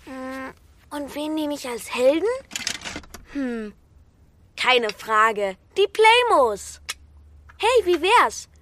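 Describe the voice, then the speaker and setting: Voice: high-pitched, expressive, very slow, long pauses, noisy background, heavily acted. An actor playing a playful, imaginative young schoolboy in a children's audio drama.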